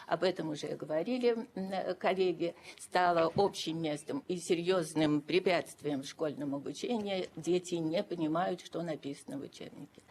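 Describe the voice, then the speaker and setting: A woman giving formal remarks at an official meeting, deliberate and emphatic, repeating key phrases for weight. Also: on the low side, darkish tone. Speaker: education expert addressing a council. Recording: noisy videoconference audio.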